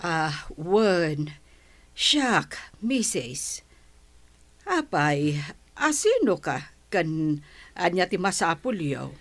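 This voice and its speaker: A woman's voice: on the low side, very animated, extremely slow, heavily acted. A woman playing a blunt, resentful housewife who complains, in a radio comedy drama. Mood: wary.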